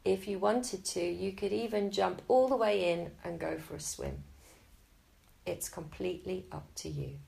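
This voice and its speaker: A woman guiding a Yoga Nidra relaxation in a slow, soft and soothing way. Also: mid-range voice, sing-song, creaky, low-quality recording. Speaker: meditation guide.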